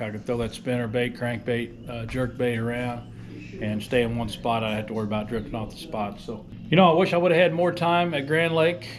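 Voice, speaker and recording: Plain-spoken man, noisy handheld recording. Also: mid-range voice. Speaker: amateur tournament angler and vlogger. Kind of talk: casual vlog chat. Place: outdoors on a gravel lot, then inside a large garage. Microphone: action camera at arm's length. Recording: noisy handheld camera audio, ambient noise.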